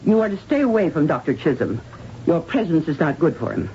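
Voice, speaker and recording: An actress playing a stern, domineering senior nurse in a 1970s radio drama, speaking in a clipped, formal, commanding way. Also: female voice, deep voice, very animated, a little fast, warm tone. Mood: cold, commanding. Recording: dull lo-fi radio broadcast, noisy.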